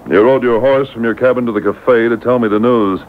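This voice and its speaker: A man's voice: steady tone, brisk, natural voice. A tinny, faintly hissy old radio broadcast carries a man playing a Mountie sergeant. He is firm, authoritative and commanding, in the style of a vintage adventure serial. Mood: stern, probing. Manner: authoritative, firm, clipped interrogation. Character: stern, commanding male Mountie sergeant with loyal dog. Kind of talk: 1940s-50s radio adventure serial acting. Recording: tinny, noisy boombox taping of AM radio.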